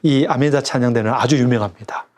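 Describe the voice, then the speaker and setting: A man's voice: mid-range voice, fast, a little mellow. A middle-aged man, a pastor, speaking earnestly and with fervor in a radio interview, with a regional dialect he mentions himself.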